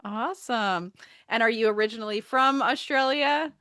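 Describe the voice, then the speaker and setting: A woman's voice: on the high side, normal speed, sharp tone, clean tone, no room sound, clean studio sound. A woman hosting a podcast interview, asking questions in an encouraging, friendly way. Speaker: podcast host.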